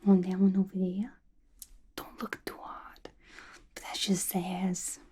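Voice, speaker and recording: A woman voicing a playful, gossipy villain locked in an asylum, in a breathy, chatty, confiding ASMR roleplay. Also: lowish voice, completely flat delivery, slow, noisy background. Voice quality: breathy, whispery. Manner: chatty, confiding, casual slangy talk.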